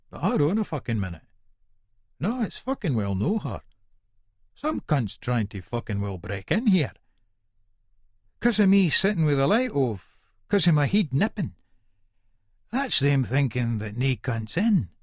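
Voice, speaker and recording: A volatile Edinburgh hard man telling his own story in a dramatised audiobook. His broad Scottish speech is aggressive, colloquial and thick with swearing, sitting at a middling pitch. The sound is close and dry. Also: dry recording. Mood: irritable, wary. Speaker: audiobook narrator in character. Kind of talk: first-person dramatised audiobook monologue.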